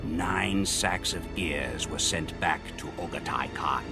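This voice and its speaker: Gravelly, solemn Mongol warrior storyteller. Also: male voice, extremely low pitch, over loud music, heavily acted. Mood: proud, martial. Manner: measured, theatrical storytelling delivery. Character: proud Mongol warrior recounting conquests, solemn and grandiose. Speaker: game campaign narrator. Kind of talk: dramatic scripted narration.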